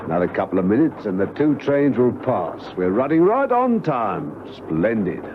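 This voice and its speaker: A gloating male villain, measured yet swooping in pitch. The old radio play sounds thin and tinny, with loud noise behind. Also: big pitch swings. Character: smoothly gloating male villain, ticket collector. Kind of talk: spy radio drama villain acting.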